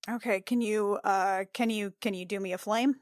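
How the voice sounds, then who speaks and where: An anxious female spacefarer acted by a woman in a tabletop game, caring and frayed. She speaks haltingly, circling back over her words, in a very dry recording. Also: medium pitch, fairly even intonation, no room sound, natural voice. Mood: concerned, gentle.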